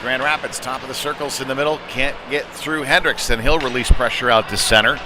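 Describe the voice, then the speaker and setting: A man's voice: medium pitch, a little fast. A man doing live ice hockey play-by-play in a conversational manner that lifts on the action. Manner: conversational, rising to excited calls on big plays. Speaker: former goaltender. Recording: clear arena noise behind the voice.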